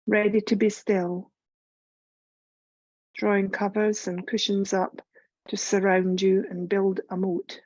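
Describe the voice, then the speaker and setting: A woman leading a guided meditation as a yoga teacher, speaking in a soothing, unhurried, instructive way. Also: medium pitch, a little mellow, no room sound, lo-fi sound. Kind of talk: guided meditation, scripted narration.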